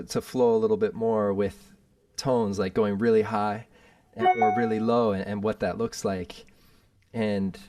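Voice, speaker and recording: A young man with a low voice who speaks from a distance from his microphone. The audio is dry and dead on a video call, and he muses in a rambling, thoughtful way. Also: darkish tone, no room sound. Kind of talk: casual reflective chat.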